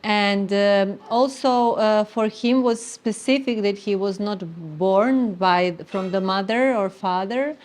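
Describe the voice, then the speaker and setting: A middle-aged woman with a Serbian accent speaks at an ordinary, mid-range pitch. She talks earnestly and haltingly, pausing on fillers, as she shares her personal spiritual story. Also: a little mellow. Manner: earnest, halting, non-native grammar with many fillers. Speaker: interviewee sharing spiritual story. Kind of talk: reflective personal testimony.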